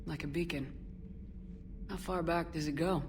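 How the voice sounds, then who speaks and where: A resolute female military commander played by a woman with a notably low voice. She speaks in a measured, terse, sincere way in cinematic game dialogue, with clear ambient noise behind her. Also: fairly even intonation, natural voice. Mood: reflective.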